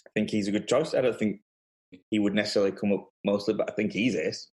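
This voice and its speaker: A man's voice: fairly low pitch, fairly even intonation, fast, a little mellow. A man chatting casually about films as a podcast guest. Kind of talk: casual film chat.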